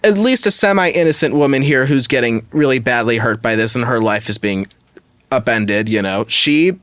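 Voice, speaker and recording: A man's voice: medium pitch, lively intonation, moderate pace, a bit bright, dry recording. A man hosting a podcast, talking casually and giving opinions as he thinks aloud and qualifies his judgments. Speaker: podcast host. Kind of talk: casual opinionated discussion of online stories.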